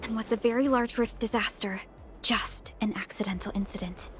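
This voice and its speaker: A woman's voice: a little high, steady tone, moderate pace, a little hiss or hum, natural voice. An actress voicing an earnest, thoughtful and dutiful woman protector in a video game, speaking seriously and formally.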